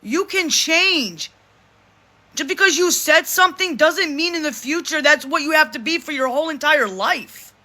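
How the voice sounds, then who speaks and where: A vlogger in their thirties talks straight to camera in a casual monologue. They say they have a deep voice, and they speak emphatically, often repeating and restarting phrases. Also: moderate pace, bright, forceful tone. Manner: speaks in a self-described deep voice.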